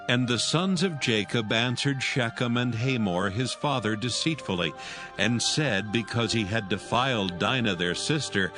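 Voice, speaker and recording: A man narrates scripture from a script in a measured, solemn way. His pitch is average for a man, and music plays clearly behind him. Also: animated.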